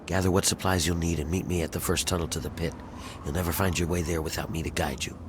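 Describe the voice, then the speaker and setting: An actor playing a gruff male rebel planner in a video game, blunt and commanding. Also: sits low, level tone, quick pace, noisy background, natural voice. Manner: blunt, matter-of-fact.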